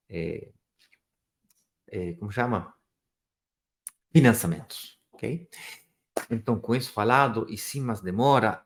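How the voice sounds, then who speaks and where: A man from Chile with a Chilean Spanish accent, whose first language is Spanish, hosting a live stream in a chatty, joking way and sometimes searching for words. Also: mid-range voice. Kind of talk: casual live stream talk.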